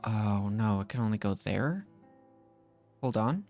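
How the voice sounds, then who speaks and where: A man's voice: on the low side, expressive, measured pace, a little mellow, soft background music. A man giving casual gameplay commentary as a streamer, thinking aloud and muttering to himself. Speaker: game streamer.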